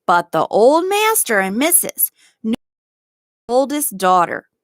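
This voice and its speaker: A woman voicing a kindly, loyal old male gardener in a storybook, speaking in a gentle, deliberate rustic dialect. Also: fairly high pitch, sing-song, no echo, studio quality, voice pushed up. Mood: gentle reminiscing. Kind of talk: storybook read-aloud.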